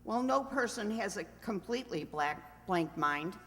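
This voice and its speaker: A woman's voice: medium pitch, lively intonation, normal speed, a bit bright, lightly acted. A woman playing a cheerful, self-assured married mind reader as a matter-of-fact contestant on an old-time radio quiz. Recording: long hall reverb.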